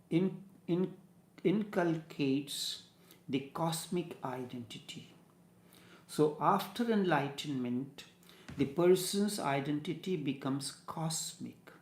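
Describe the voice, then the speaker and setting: A man from India with an Indian accent and Hindi as his first language, giving a slow, halting spiritual discourse as a teacher. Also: on the high side, a little mellow, reverberant.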